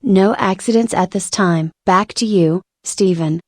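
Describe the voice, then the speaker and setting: Woman as a mellow weather and traffic reporter. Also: medium pitch, unhurried, husky, no room sound, studio quality. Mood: matter-of-fact. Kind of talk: scripted news reading.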